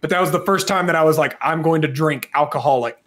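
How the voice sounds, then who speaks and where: A man's voice: a little high, quick pace, fairly bright tone. A man from the southern US with an American accent, co-hosting a podcast in a casual, joking, opinionated way. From southern United States. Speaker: podcast co-host. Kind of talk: casual chat.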